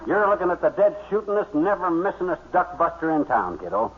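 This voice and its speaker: A very thin, noisy old radio broadcast carries a blustering middle-aged husband. His voice sits slightly high. He brags in folksy slang like a hapless sitcom big shot of the 1950s. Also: very animated, fairly quick, phone-quality sound. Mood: boastful. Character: boastful, blustering, bumbling middle-aged husband and duck hunter.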